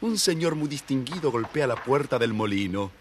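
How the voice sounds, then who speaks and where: A man narrating a children's fairy tale as a theatrical, husky-voiced storyteller. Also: mid-range voice, lively intonation, moderate pace, background noise. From Argentina.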